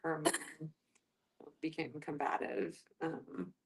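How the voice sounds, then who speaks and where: A woman in a casual group discussion, talking haltingly and voicing other people's imagined thoughts. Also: low-pitched, fairly even intonation, creaky. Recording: voice picked up from a distance.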